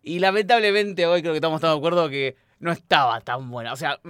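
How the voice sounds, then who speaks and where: A man with a Rioplatense Argentine accent co-hosting a film podcast in a relaxed, rambling, teasing way. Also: fairly high pitch, quick pace, clean tone, no echo, clean studio sound. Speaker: podcast co-host. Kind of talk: casual film podcast chat. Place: studio.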